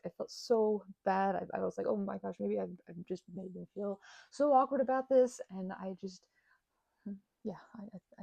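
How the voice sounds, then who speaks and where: A woman voicing a timid, grateful, apologetic vampire in an intimate audio roleplay, stammering nervously, rambling and often dropping toward a breathy whisper. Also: medium pitch, mellow, no echo, low-quality recording. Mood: startled, confused. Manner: nervous stammering, halting and self-interrupting.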